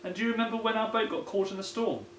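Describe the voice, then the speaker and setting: A man's voice: high-pitched, quick pace, a bit bright, pitched above the performer's own voice. A man voicing a kindly old grandad who earnestly tells tall tales in a children's picture book. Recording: roomy echo, some background noise.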